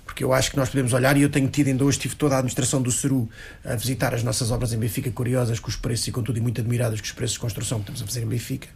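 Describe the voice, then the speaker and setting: A man's voice: medium pitch, level tone, gravelly, a little mellow, breathy edge. A man with a European Portuguese accent, arguing as a local politician on a panel, restarting and repeating words as he pushes his point. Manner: fast, restarts often, repeats words, argues insistently.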